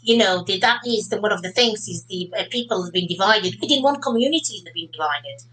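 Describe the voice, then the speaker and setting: Middle-aged woman host on a video call, gushing and repetitive, bright forceful voice. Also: medium pitch, expressive, brisk, rough recording. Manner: effusive, repeats phrases, enthusiastic. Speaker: interview host. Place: indoor home room. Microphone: video call webcam.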